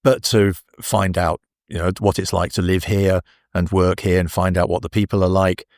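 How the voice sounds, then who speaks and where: An older man with an English accent, talking as an interview guest in a relaxed, halting way full of restarts. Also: low voice, no echo, clean studio sound. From England. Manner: hesitant, many restarts and fillers. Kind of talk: casual interview.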